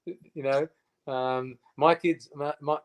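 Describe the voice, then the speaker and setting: A man's voice: a little high, fairly even intonation, takes it slowly, clear, resonant tone. A man in his fifties, a guest in a casual interview, who speaks emphatically with many fillers and restarts. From Australia. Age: 50s.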